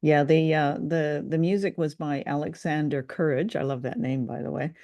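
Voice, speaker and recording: A woman with a dark, mellow voice, pitched fairly low, co-hosts a relaxed review conversation. She is enthusiastic and generous, gushing about what she discusses. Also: moderate pace, no room sound. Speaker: podcast co-host. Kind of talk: casual review chat about old-time radio drama.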